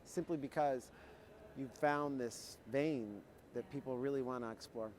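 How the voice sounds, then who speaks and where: A man's voice: medium pitch, unhurried, darkish tone, a little hiss or hum. A man hosting an interview, asking long, rambling questions. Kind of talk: conversational interview. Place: trade show floor.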